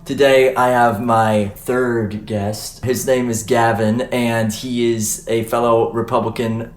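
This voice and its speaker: A man's voice: mid-range voice, flat delivery, slow. A young man hosting a casual podcast, chatting loosely with fillers and restarts. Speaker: podcast host. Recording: echoey room, faint noise.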